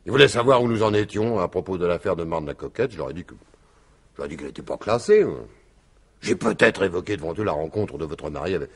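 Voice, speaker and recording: An actor playing a wily, courteous and evasive police commissioner in a French radio mystery in 1950s style. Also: male voice, deep voice, expressive, quick pace, breathy edge, exaggerated voice. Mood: evasive, soothing. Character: wily, placating male police commissioner, coolly scheming. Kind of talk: French radio drama acting in 1950s manner.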